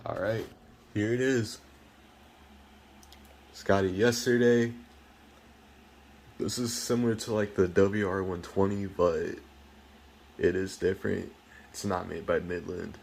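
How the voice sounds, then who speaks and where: A man's voice: low-pitched, little pitch movement, a little slow, creaky, long pauses, a little mellow, slight background noise. A man talking informally as he hosts a hobbyist unboxing and setup video. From United States. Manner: informal, occasionally swearing. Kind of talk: casual unboxing and setup demo.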